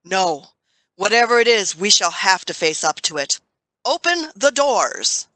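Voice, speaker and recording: A woman's voice: mid-range voice, big pitch swings, bright, forceful tone, no echo. A woman playing an imperious, fussy old man, a grandfatherly Doctor, in an audio drama. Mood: commanding. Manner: commanding, fussy, deliberate.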